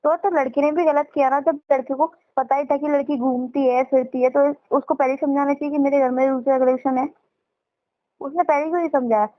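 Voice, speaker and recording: A woman's voice: sits high, steady tone, a little fast. A young woman on a muffled phone call, arguing back with challenging questions. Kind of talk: argumentative private phone conversation.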